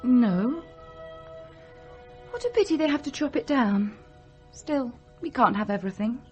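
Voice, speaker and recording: A woman's voice: fairly high pitch, expressive, measured pace, darkish tone, dull audio, faint music behind. An actress playing a restless, candid young upper-class Englishwoman in a radio drama, breezy and impatient. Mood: wistful.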